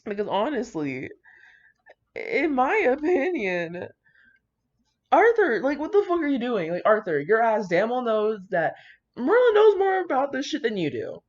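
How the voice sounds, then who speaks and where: A woman's voice: fairly high pitch, huge pitch swings, a little slow, darkish tone. A woman reading and reacting to fan fiction in a casual, brash, slangy and dramatic way. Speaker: video creator reading fan fiction aloud with commentary. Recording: dull lo-fi audio.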